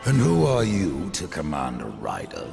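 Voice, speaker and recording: A grim horseman, terse and commanding, with a very deep, gravelly voice that swings widely in pitch. Loud music plays beneath this video game cutscene. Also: wildly swooping pitch, moderate pace, full character voice. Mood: curt.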